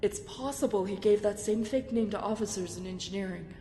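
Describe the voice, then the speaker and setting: A woman playing a dutiful military admiral, formal, measured and respectful, in a sci-fi drama. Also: warm tone, natural voice. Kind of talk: sci-fi audio drama acting. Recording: echoey hall, clear background rumble.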